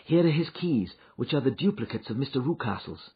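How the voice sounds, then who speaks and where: A man reading an audiobook voices an anxious young governess woman, with a husky tone. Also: mid-range voice, expressive, brisk, muffled recording, pitched above the performer's own voice. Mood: tense. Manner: urgent, tense.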